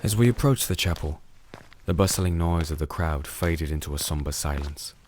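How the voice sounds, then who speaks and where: A man playing a calm, firm crusader in a fantasy audio drama, narrating and speaking in a measured, solemn way. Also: a bass voice, noisy background. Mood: solemn narration. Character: disenchanted male crusader, calm, firm sword-bearing investigator. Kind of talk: audio drama dialogue and first-person narration.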